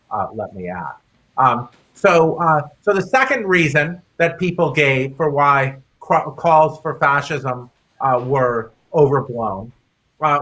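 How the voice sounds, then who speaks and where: A man with a fairly high, bright and forceful voice talks as a guest in a public discussion. He explains his argument in a halting way, doubling back on words as he goes. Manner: halting, hesitant delivery with repeated words. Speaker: guest author and speaker.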